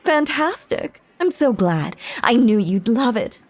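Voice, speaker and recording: A woman playing a possessive, lovestruck stage magician in a roleplay audio drama, theatrical and doting, often breathy or whispering. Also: fairly high pitch, sing-song. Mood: enraptured. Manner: theatrical, doting, drawn-out hypnotic cooing. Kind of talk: roleplay audio drama, intimate second-person monologue.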